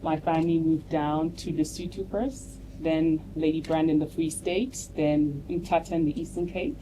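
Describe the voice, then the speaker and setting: A woman from Nigeria introducing herself on a panel as a content creator, telling her story in a relaxed, personal way. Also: deep voice, normal speed. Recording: clear background noise on a live panel mic.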